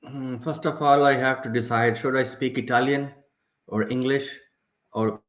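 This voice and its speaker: A man from Pakistan, a native Urdu speaker with a Pakistani accent, speaking haltingly in non-fluent English on a panel. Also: mid-range voice, steady tone, fairly bright tone, roomy sound. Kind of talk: extemporaneous panel discussion.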